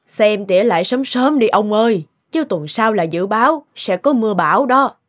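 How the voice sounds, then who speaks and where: A woman playing a practical elderly housewife in a cartoon, chatty and gently nagging. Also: mid-range voice, animated, moderate pace, close to an everyday voice.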